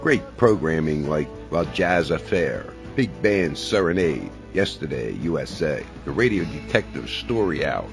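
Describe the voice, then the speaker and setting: A man hosting a podcast, delivering a spoken fundraising appeal straight to listeners. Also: low voice. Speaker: podcast host. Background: music.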